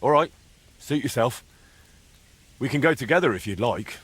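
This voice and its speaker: A young blacksmith in a game drama. His voice is slightly rough and very varied in pitch. He comes across as earnest, plain-spoken and eager to help. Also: lively intonation, normal speed, a bit bright. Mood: friendly, encouraging. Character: helpful, inquisitive young male blacksmith, earnest and friendly.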